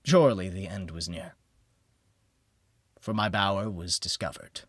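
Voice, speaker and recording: A man narrating a gothic horror audiobook in a theatrical manner that moves between hushed near-whispers and heightened exclamation. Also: sits low, unhurried, no room sound, studio quality. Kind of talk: dramatic scripted horror narration.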